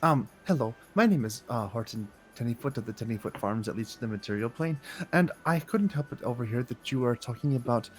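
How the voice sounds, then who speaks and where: A man's voice: mid-range voice, lively intonation, brisk, faint music behind, lightly acted. A man voicing a courteous, long-winded and formal fantasy adventurer in a tabletop game. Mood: polite, earnest. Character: polite, wordy, formal male fantasy adventurer from a farm.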